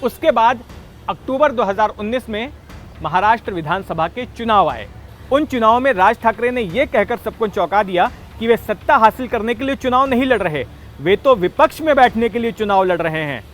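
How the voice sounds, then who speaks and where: A man's voice: sits high, expressive, normal speed, a bit bright, slight background noise. A man narrating a scripted political news explainer in an emphatic storytelling manner. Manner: emphatic storytelling with rhetorical questions. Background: background music.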